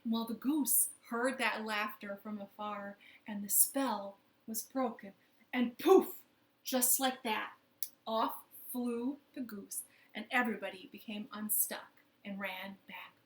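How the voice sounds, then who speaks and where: A woman's voice: mid-range voice, steady tone, slow pace. A woman telling a children's story expressively from her living room, speaking directly to young listeners. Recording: roomy, echoing home living room.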